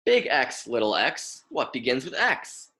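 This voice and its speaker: A man's voice: medium pitch, sing-song, unhurried, bright, forceful tone. A young American man reading a picture book aloud as a lesson for children, repeating and emphasising words in an instructive way. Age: young adult.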